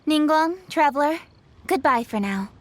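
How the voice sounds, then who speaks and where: A woman playing a dutiful young noblewoman official in a video game, crisp, formal and commanding. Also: high-pitched, lively intonation, moderate pace, a little hiss or hum. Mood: courteous. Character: brisk, dutiful young noblewoman official, strict and hard-working. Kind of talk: video game dub acting.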